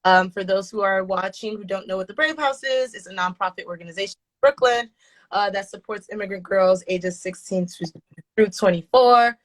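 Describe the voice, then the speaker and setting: A woman's voice: medium pitch, normal speed, low-quality recording. A woman hosting a casual podcast interview over a video call, friendly and loose with ums and uhs. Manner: friendly, relaxed, fillers like um and uh. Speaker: podcast host.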